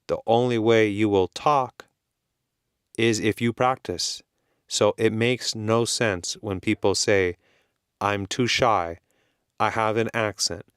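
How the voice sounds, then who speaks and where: Slow, deliberate Californian man teaching English. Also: fairly low pitch, steady tone, fairly bright tone, clear, resonant tone, dry recording, studio quality. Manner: slow, deliberate, plainly worded, repeats key points. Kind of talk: slow, simple explanation for learners.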